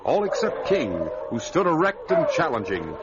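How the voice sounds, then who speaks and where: A man's voice: fairly high pitch, huge pitch swings, normal speed, very noisy. A man announcing and narrating an old-time radio show with a dramatic, emphatic delivery. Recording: muffled vintage radio recording.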